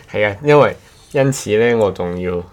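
A male co-host with a low voice and a Cantonese accent. He talks in a casual, anecdotal way, looping back to repeat and restart phrases. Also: animated, unhurried. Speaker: podcast co-host.

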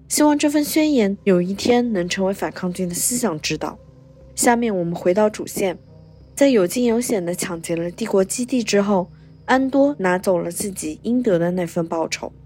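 A woman's voice: mid-range voice, darkish tone. A woman hosting a podcast and narrating a scripted story recap.

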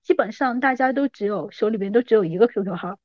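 A woman's voice: mid-range voice, fast, no room sound, lo-fi sound. A woman in her thirties chatting casually and informally as a podcast guest. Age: 30s. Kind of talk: casual reminiscing chat.

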